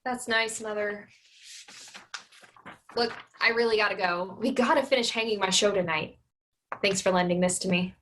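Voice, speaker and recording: A woman's voice: mid-range voice, normal speed, long pauses, a bit bright, a little hiss or hum, close to an everyday voice. A woman playing a frustrated, resentful grown daughter in a staged reading of a play. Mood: hurried.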